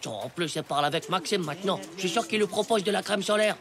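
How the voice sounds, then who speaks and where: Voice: medium pitch, fast, a bit bright, close to an everyday voice. An actor playing a cheeky, hot-headed ten-year-old schoolboy in a French cartoon, brash and whiny. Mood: urgent, scheming.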